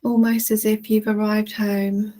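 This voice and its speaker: Slow, mellow woman guiding a relaxation. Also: medium pitch, flat delivery. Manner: soothing, gentle, unhurried with long pauses. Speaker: guided relaxation instructor. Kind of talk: guided relaxation, meditation narration.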